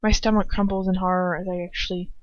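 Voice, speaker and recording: A woman's voice: fairly low pitch, little pitch movement, fast, no echo. A woman reading fan fiction aloud as an amateur, informal and breaking off into casual asides. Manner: informal, self-interrupting. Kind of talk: informal first-person story narration with casual asides. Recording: dull lo-fi home recording.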